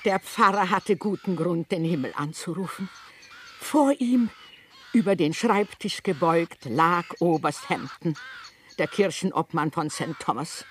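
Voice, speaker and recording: A woman with a husky voice narrating a radio play in a scripted, dramatic storytelling style. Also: on the low side, a little slow, a little hiss or hum.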